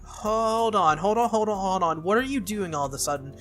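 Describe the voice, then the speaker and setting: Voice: mid-range voice, animated, brisk, a bit bright, soft background music, voice pushed up, lightly acted. A game player voicing a wary, flustered teenage boy hero who protests in exasperation.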